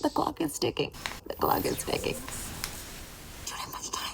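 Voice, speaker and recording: A woman playing a ditsy, panicked abductee pleading urgently on the phone, breathy and melodramatic, in an ASMR comedy role play. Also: normal speed, close to an everyday voice. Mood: frantic, pleading. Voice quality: breathy, half-whispered.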